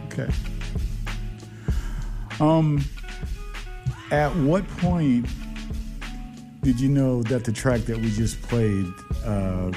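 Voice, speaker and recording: A man's voice: medium pitch, drawn-out words, pauses often, over music. A man hosting a casual interview, easygoing and encouraging. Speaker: podcast host.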